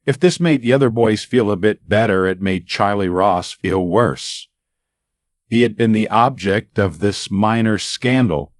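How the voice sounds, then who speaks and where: Low-voiced male storyteller, deliberately paced. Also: no echo, clean studio sound. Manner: dramatic pauses and deliberate, emphatic phrasing. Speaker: radio-style storyteller. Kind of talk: scripted narration of a true anecdote with a twist.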